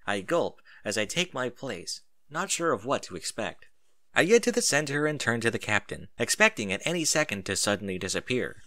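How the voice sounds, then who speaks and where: A man narrating a first-person story in an expressive reading style. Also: on the low side.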